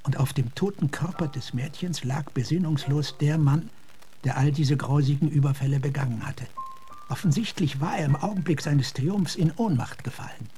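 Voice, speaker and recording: An actor playing an earnest, philosophical gentleman officer in a German radio drama, speaking in a measured, reflective way. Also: a little high, soft background music, close to an everyday voice. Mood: somber. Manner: measured, reflective, sometimes hushed.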